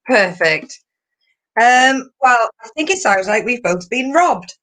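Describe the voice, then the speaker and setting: A woman's voice: fairly high pitch, animated, slow pace, sharp tone. A woman chatting casually and playfully over a video call as co-host. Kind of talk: casual chat.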